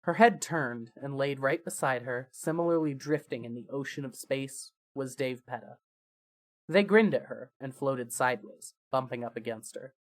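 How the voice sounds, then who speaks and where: A narrator reading fan fiction as dramatic scripted storytelling, with emphatic phrases that trail off softly. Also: mid-range voice, moderate pace.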